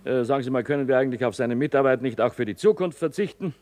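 An actor playing a brisk team leader giving formal instructions to his crew in a radio play. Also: mid-range voice, animated, darkish tone, lightly acted. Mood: dry. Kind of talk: radio drama dialogue.

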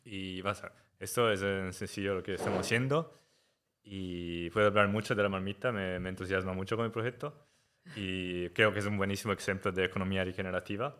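Deep-voiced man with an Italian accent. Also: steady tone, a bit bright. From Italy. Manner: halting, searches for words, many fillers. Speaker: guest, cooperative member. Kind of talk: casual podcast conversation.

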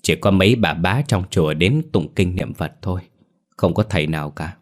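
A man voicing a calm elderly grandmother, telling news in a matter-of-fact way. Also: deep voice, normal speed. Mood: sombre.

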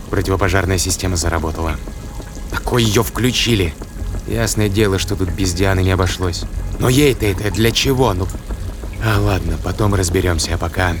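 A man playing a sardonic, impatient spaceship captain, gruff and muttering, in a sci-fi audio drama. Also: sits low, natural voice. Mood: tense commanding. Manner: muttering to himself, sarcastic, gruff commands. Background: dramatic music and effects.

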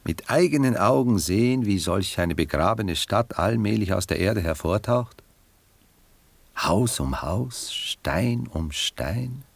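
An actor playing a cultured, melancholic gentleman poet in a German radio drama, speaking in a measured, courteous, reflective manner. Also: lowish voice, lively intonation, gravelly, no room sound, full character voice. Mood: musing.

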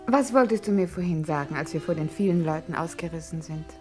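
An actress playing a film star's tender young sweetheart in a 1950s radio drama. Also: female voice, low voice, quick pace, darkish tone, faint music behind, lightly acted.

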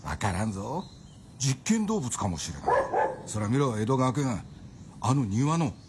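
Animated nosy visitor, noisy background. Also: male voice, mid-range voice, measured pace. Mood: suspicious, knowing. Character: nosy, suspicious male visitor gossiping. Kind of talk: Japanese radio drama dialogue.

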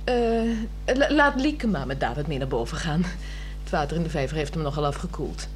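A woman's voice: low voice, expressive, soft background music. A woman with a Netherlands Dutch accent playing a breezy, bossy, inquisitive wife in a 1960s radio drama. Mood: bossy, suspicious. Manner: brisk, inquisitive, directing.

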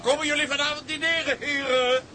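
A man's voice: extremely high pitch, little pitch movement, a little fast, bright, forceful tone, slight background noise. A man playing a cheeky deckhand who jeers and calls out mockingly, in radio drama style. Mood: jeering.

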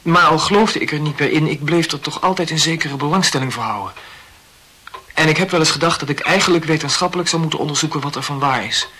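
Bright-voiced, awkward young student. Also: male voice, on the high side, fast, husky. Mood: awkward, confessional. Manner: hesitant, over-explaining, formally polite. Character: earnest, awkward, talkative young male medical student. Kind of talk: radio play dialogue.

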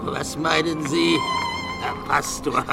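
An actor playing a wistful country man in a German radio play, speaking in a halting, musing way. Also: fairly high pitch, fairly even intonation, vocal fry. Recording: radio drama, music and effects behind.

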